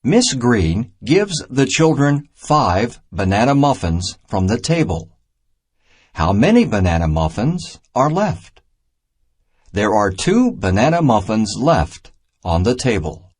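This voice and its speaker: A man reading a children's math storybook aloud in a deliberate, simple teaching manner. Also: mid-range voice, big pitch swings, a little mellow, slightly rough. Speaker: narrator of a children's math reader.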